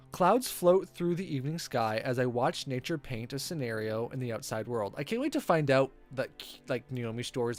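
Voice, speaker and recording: Game streamer, very animated intonation, theatrical read-aloud, playful asides, stumbles over words, music underneath. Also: medium pitch. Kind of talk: reading visual novel aloud with casual reactions.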